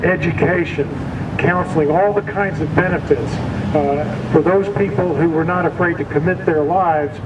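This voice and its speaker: A man giving an earnest, halting speech with many fillers at an outdoor podium by a memorial. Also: fairly high pitch. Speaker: supporter speaking at a campaign event. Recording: distant mic, dull sound, outdoor noise.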